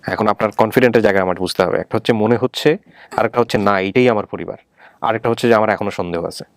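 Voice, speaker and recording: A man's voice: fairly low pitch, racing, creaky, no echo, studio quality. A man hosting a family reunion show, questioning methodically and repeating points to confirm them. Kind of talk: probing interview, reading out and summarizing testimony.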